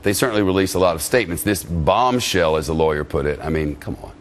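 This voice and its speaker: A male news anchor with a fairly low voice. He reads the story and steers a panel, speaking bluntly and skeptically. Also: fast, slight background noise. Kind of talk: news reading and panel moderating.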